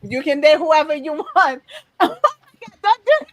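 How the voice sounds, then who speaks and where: A woman in her forties with a Haitian accent, co-hosting a podcast and telling frank, animated stories with frequent laughter. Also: high-pitched, takes it slowly, slight background noise. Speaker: podcast co-host. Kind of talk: candid conversational podcast chat.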